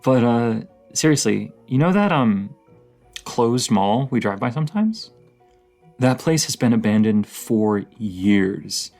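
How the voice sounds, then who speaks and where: A man playing a dorky, playful partner in an intimate audio roleplay, rambling, giggly and teasing, with a slightly creaky voice. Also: mid-range voice, expressive, takes it slowly, a little mellow, soft background music. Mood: nerdy, casual. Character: dorky, playful young romantic partner, horror film nerd.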